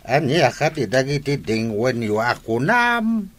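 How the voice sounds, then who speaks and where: An actor playing a folksy village uncle in an Ilocano radio comedy drama, with an Ilocano accent. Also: male voice, mid-range voice, big pitch swings, a little slow. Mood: surprised, welcoming.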